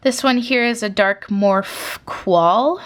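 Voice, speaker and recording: A woman's voice: mid-range voice, takes it slowly. A young woman from Canada chatting casually through a sketchbook flip-through, rambling with lots of fillers. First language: English. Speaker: artist showing her sketchbook.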